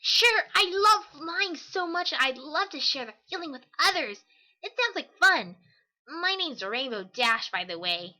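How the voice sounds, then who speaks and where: A woman voicing a brash, confident young female pegasus pony in a fan audio drama, cocky, casual and energetic, on a slightly dull microphone. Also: sits high, a little slow, exaggerated voice. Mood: friendly, eager.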